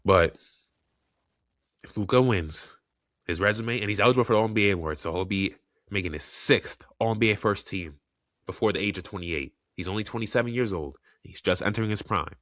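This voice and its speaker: A man's voice: fairly low pitch, fairly quick, fairly bright tone, no room sound, studio quality. A man hosting a solo sports podcast, congested and under the weather, giving opinionated takes and thinking aloud with frequent restarts. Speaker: podcast host.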